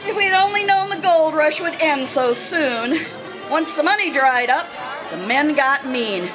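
A woman acting in a radio drama as a kindly, excitable former gold-rush saloon girl, with a folksy, gushing manner. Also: high voice, unhurried, music in the background, a crowd in the background, low-quality recording. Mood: nostalgic.

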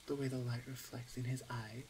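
A woman playing a teasing, smug older orc shaman sister in an ASMR roleplay, with a playful, drawn-out, self-assured delivery. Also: very deep voice, flat delivery, a little mellow, slight background noise, rough recording. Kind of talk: ASMR roleplay audio drama.

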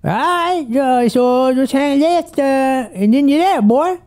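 A woman's voice: high voice, expressive, takes it slowly, a little mellow. A woman playing a creepy rural old male gas station attendant in an audio drama, drawling with backwoods phrasing. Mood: menacing. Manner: drawling backwoods talk with "reckon" and "boy". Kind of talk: radio horror drama.

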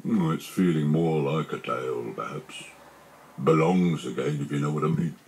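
A man voicing a gloomy, self-pitying old donkey in a children's storybook, speaking slowly and mournfully with lots of grumbling. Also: very deep voice, darkish tone, slight background noise, pitched below the performer's own voice, rough recording. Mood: morose, grumbling. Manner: slow, mournful, complaining delivery.

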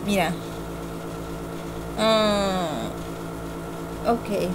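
A woman's voice: mid-range voice, fairly even intonation, very slow. A young woman giving a casual opinion vlog in a rambling, hesitant way, often repeating herself. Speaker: amateur video reviewer. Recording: noisy amateur home computer recording.

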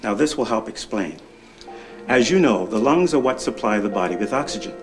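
A middle-aged man playing a reassuring family doctor, explaining things in a measured, instructive way. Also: on the low side, lively intonation, faint music behind, natural voice. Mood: calm, reassuring. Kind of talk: scripted educational explanation.

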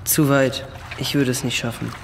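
A man's voice: medium pitch, level tone, moderate pace, vocal fry, close to an everyday voice. A young-sounding male actor playing a level-headed boy in a children's adventure audio play. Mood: worried. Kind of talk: children's audio drama acting. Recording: long cave-like reverb, faint water noise.

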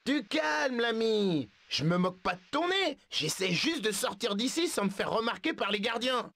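A man voicing a cheeky young male zebra escaping a zoo, in a video game dub, quick and quippy. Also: very high-pitched, very animated, gravelly, pushed and bright, no echo, studio quality, full character voice.

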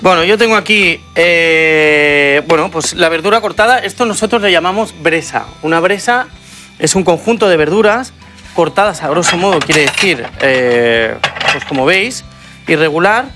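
A man's voice: on the high side, normal speed, over music. A middle-aged man with a Spanish accent, a chef co-hosting a TV cooking show, explaining cooking steps in a studio kitchen. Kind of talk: TV cooking demonstration.

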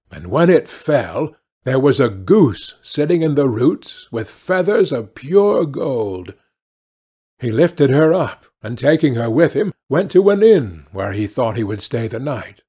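A man narrating a fairy tale as an audiobook, with an animated storybook delivery. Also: mid-range voice, breathy edge.

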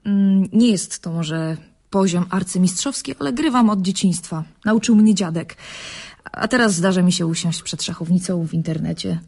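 Husky, wry young woman. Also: on the low side, moderate pace. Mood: modest, curious. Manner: wry, relaxed repartee. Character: clever, self-assured, wry young woman. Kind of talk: radio drama acting.

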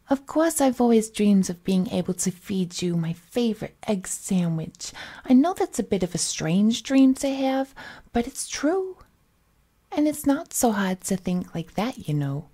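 A woman's voice: medium pitch, dark tone. A woman playing a cheerful, possessive villainess kidnapper in a roleplay audio drama, cooing and coaxing the listener in folksy slang. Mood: giddy, adoring. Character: cheerful, possessive female supervillain kidnapper, doting and unhinged.

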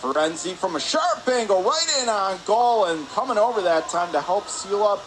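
A man's voice: high-pitched, expressive, normal speed. A man doing live radio play-by-play of ice hockey, in clipped running phrases that rise with the action. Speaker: play-by-play announcer. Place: indoor ice rink stands. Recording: clear rink noise behind.

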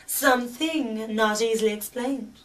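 A pompous lady scientist acted by a woman with a fairly high voice, formal, precise and overbearing. It is comic radio drama, recorded slightly away from the microphone. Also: unhurried, distant mic, close to an everyday voice, poor audio. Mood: formal, dramatic. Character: pompous, eager female forensic scientist. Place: room in a large private home.

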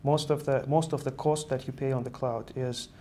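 A man's voice: mid-range voice, fairly even intonation, normal speed, mellow, slight background noise. A man in his thirties from Estonia, speaking as an earnest guest in a studio interview, often repeating words as he explains. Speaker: guest, software engineer. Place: virtual news-style studio set.

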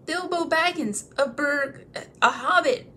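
A woman's voice: sits high, a little slow, sharp tone, a little hiss or hum, pitched above the performer's own voice. A woman voicing a frightened, polite male hobbit who stammers and pleads breathlessly. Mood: pleading.

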